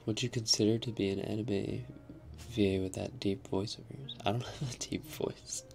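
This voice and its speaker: A young man hosting an ASMR live stream, answering chat in a soft, half-whispered, slightly raspy voice and laughing often. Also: on the low side, steady tone, moderate pace, soft background music. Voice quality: a little raspy.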